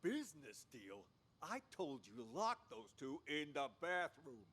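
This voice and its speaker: A man's voice: fairly high pitch, sing-song, measured pace, a bit bright, full character voice. An actor playing a delusional, theatrical mental patient who thinks he is a director, pompous and conspiratorial in a radio drama style. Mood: stern. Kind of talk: interactive radio drama acting.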